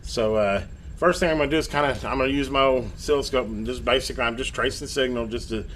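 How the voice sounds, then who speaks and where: A man's voice: mid-range voice, quick pace, fairly bright tone. A middle-aged man chatting casually as he does repairs, rambling along in a folksy, self-deprecating way. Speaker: electronics hobbyist and repair video host. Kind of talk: casual workbench chat while repairing. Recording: clear background noise.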